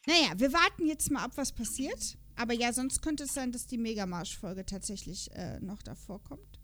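Woman podcast host, kinda high voice, casual and playful chat. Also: lively intonation, normal speed, fairly bright tone. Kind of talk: casual podcast chat, reading out character profiles.

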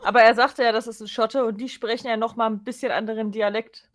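A woman's voice: fairly high pitch, fairly even intonation, fast talker. A woman chatting casually as a podcast co-host, with a dry, matter-of-fact way of talking. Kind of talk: casual chat about an audio drama.

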